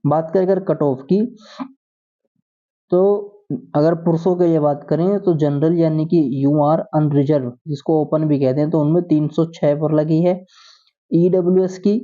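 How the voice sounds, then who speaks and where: A man's voice: fairly high pitch, steady tone, a little slow. A tinny, narrow phone-like recording of a man. He explains official updates informally, reading out and then rephrasing them for his viewers. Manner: addresses viewers as friends, repeats and paraphrases. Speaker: host of a job exam update channel.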